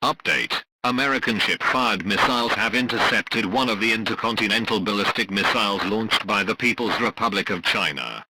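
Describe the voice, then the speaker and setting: A man's voice: on the low side, normal speed, hardly any pauses, pushed and bright, husky, exaggerated voice. A man playing a stern wartime announcer, reading a terse, formal emergency bulletin on a noisy radio-style broadcast. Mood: urgent, stern.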